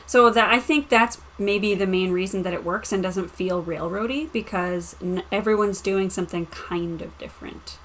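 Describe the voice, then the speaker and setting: A woman's voice: medium pitch, moderate pace, few pauses, fairly bright tone, slight background noise. A woman giving her view as a player in a casual chat about a game. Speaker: player reviewing a tabletop RPG.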